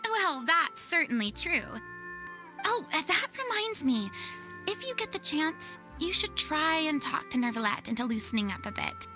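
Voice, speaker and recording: A spirited, polite young lady in a video game. The voice is high and swings widely, chatty and theatrical in delivery, with music behind it. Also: normal speed. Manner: expressive, chatty, dramatic.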